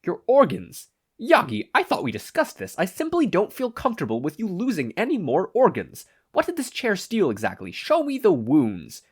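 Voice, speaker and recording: A man's voice: sits high, wildly swooping pitch, normal speed, slightly rough, voice pushed up, exaggerated voice. A man voicing a prim, exasperated and precise male official in a story. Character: fussy, high-voiced male official, exasperated and analytical.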